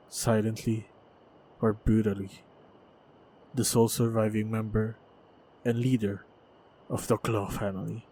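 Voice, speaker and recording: A man playing a wounded, stoic monster hunter in a fantasy audio roleplay, speaking in a measured, halting, guarded way. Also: on the low side, a little mellow, a little hiss or hum. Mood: solemn, respectful. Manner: measured, halting, guarded delivery with heavy sighs. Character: wounded, battle-hardened lone male monster hunter, wary, stoic. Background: faint ambient sound effects.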